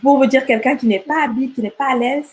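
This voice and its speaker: A woman's voice: high voice, fast talker. Middle-aged woman from rwanda, interview guest, chatty, keeps restarting and repeating words. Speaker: guest entrepreneur. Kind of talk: casual interview chat.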